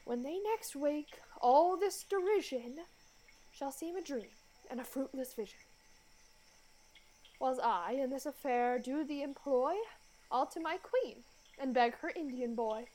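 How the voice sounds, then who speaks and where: A woman playing a commanding, calm fairy monarch in a Shakespearean radio play, speaking measured verse regally. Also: sits high, poor audio. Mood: regal, assured.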